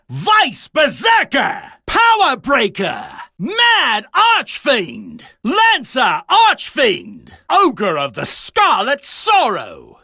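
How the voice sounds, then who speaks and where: A man's voice: extremely high pitch, pushed and bright, no room sound, clean studio sound, full character voice. A man playing a proud, domineering champion duelist in an anime video game, declaiming battle callouts in an imperious, theatrical way. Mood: bold, commanding. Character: proud, domineering male champion duelist summoning dragons and fiends.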